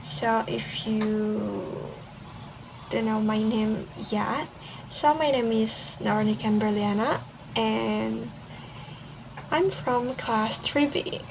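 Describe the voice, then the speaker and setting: A young woman from Indonesia, a university student with an Indonesian accent, presenting casually in a second language with many pauses and restarts. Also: a little high, creaky. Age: young adult. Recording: steady background noise.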